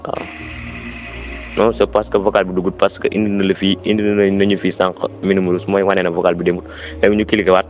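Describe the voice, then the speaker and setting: A man's voice: low-pitched, level tone, fast talker, muffled recording, faint music behind. A man with a Senegalese accent gives an informal step-by-step app tutorial, repeating instructions and mixing in French words. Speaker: tutorial presenter.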